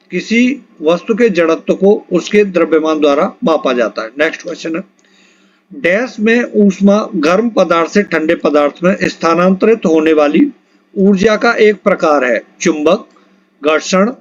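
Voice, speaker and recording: A man teaching an exam quiz lesson, reading out questions, options and answers in an instructional way and prompting listeners to answer. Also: a little high. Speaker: exam-prep physics teacher. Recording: dull lo-fi recording.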